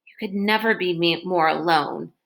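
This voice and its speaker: A woman reading a children's novel aloud in an expressive storytelling style. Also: on the low side, moderate pace, creaky. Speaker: read-aloud reader. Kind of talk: expressive children's book narration.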